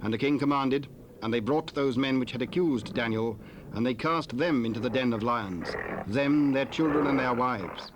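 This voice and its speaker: Man narrating bible drama, measured and solemn, mid voice, lots of tape hiss. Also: mid-range voice. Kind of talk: scripted scripture narration for audio drama. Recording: hissy cassette tape rip.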